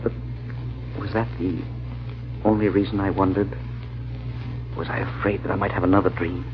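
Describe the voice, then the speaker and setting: An actor playing an earnest, wry amateur sleuth, measured and dryly humorous, in an old-time radio mystery. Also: male voice, deep voice, little pitch movement, close to an everyday voice. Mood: brooding, reflective. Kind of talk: old-time radio drama narration and acting. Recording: muffled old radio recording, faint hiss.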